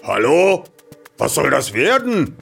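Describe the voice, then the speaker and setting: A man voicing an indignant, demanding Santa Claus with a raspy voice in a dramatized storybook reading. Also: on the high side, huge pitch swings, slow, fairly bright tone, faint music behind, full character voice. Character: indignant Santa Claus confronting a thieving elf.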